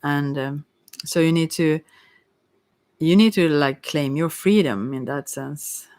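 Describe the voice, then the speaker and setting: A woman's voice: sits low, slow pace, darkish tone, no echo, studio quality. A middle-aged woman giving a casual, rambling tarot reading in a hesitant, candid, digressive way. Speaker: tarot reader.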